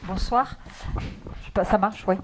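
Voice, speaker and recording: A woman's voice: medium pitch, steady tone, normal speed, slight background noise. Hesitant woman asking from the audience. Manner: hesitant, polite, searching for words. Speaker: resident asking a question. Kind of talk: question from the audience. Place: public meeting hall. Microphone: handheld microphone.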